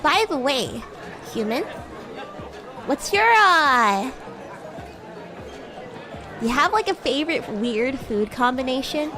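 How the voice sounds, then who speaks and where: A woman's voice: sits high, very animated, takes it slowly, long pauses, music in the background, crowd noise, heavily acted. A woman streamer voicing a bratty, haughty yet sweet young demon classmate in improvised, teasing roleplay chat. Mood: chatty, playful. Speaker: streamer, VTuber host.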